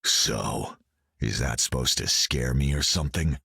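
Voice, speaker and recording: A gravelly grim vigilante. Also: male voice, extremely low pitch, a little slow, bright, forceful tone, in a large hall, heavily acted. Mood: gruff. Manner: gruff, contemptuous. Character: grim, bitter vigilante soldier man.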